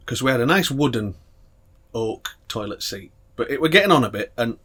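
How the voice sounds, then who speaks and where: Animated man telling crude stories. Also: mid-range voice, fairly quick, slightly rough. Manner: crude, laughing storyteller, gets loud when worked up. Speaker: podcast co-host. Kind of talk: casual banter.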